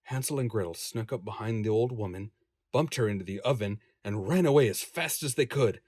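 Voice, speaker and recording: A man's voice: lowish voice, fairly quick, darkish tone, slightly rough, no echo, clean studio sound. A man reading scripted audiobook narration as a voice-over narrator.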